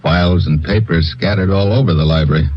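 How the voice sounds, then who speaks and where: A man plays a tough government agent in an old radio drama, talking brash and blunt with a wisecracking edge. His voice sits low. The recording is dull and lo-fi, like a vintage broadcast. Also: brisk, over music, close to an everyday voice. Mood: businesslike. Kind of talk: 1950s radio drama acting.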